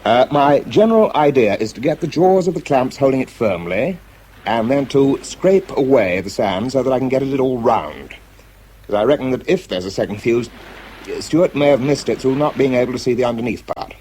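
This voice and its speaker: An actor with a British accent playing a tense, self-doubting wartime bomb disposal man in a radio drama, shifting between clipped reports and quiet confession. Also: medium pitch, lively intonation, moderate pace, a little hiss or hum, close to an everyday voice. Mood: tense, concentrated. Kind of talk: radio drama acting, first-person narration and spoken report.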